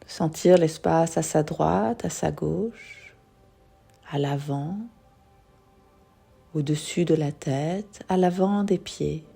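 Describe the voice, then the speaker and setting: Lady doing guided relaxation, lowish soothing voice, music playing behind her. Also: creaky, warm tone, husky. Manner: soothing, unhurried, with long pauses. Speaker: yoga nidra guide. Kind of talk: guided meditation.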